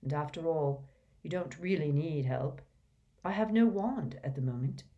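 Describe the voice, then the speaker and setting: A woman voicing a gentle, calm old male wizard headmaster who speaks softly and mildly. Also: deep voice, highs cut off, lightly acted. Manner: soft, mild, unhurried.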